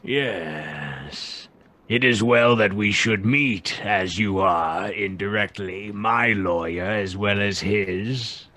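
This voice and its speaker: A man's voice: on the low side, takes it slowly, bright, forceful tone, exaggerated voice. A man playing a sinister, barely human young villain in a Gothic radio play, curt, mocking and menacing. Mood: cold, guarded.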